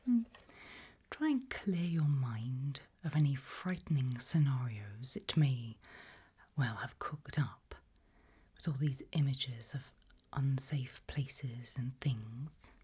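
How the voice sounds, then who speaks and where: A woman whispering in a breathy, hushed and deliberate ASMR style, putting questions to the listener. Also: a bass voice, expressive. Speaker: ASMR host.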